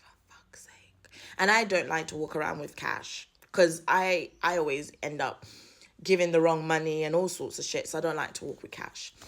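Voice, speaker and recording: Low-voiced exasperated woman, blunt and sweary. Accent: British English with Jamaican roots. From Jamaica. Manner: exasperated, blunt, swears freely, acts out dialogue. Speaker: vlogger. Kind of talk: casual storytime rant.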